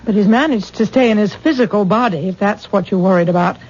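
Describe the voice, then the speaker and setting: A woman's voice: mid-range voice, quick pace, darkish tone, a little hiss or hum, close to an everyday voice. An actress playing a sensible, protective, no-nonsense woman with a dry, skeptical manner in a 1970s radio drama. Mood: guarded, kindly. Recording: muffled, dull old radio broadcast.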